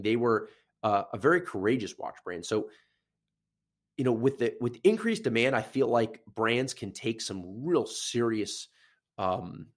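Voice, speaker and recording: A man's voice: lowish voice, gravelly. Nasal man rambling on a podcast. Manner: rambling, with many fillers and restarts. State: getting over a sinus infection. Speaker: podcast host. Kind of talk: casual solo podcast talk.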